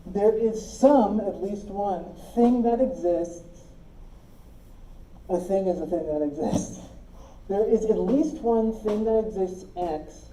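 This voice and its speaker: A woman's voice: mid-range voice, warm tone, roomy sound, a little hiss or hum, rough recording. A woman teaching a logic class, explaining step by step and often checking that her students follow. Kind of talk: classroom lecture.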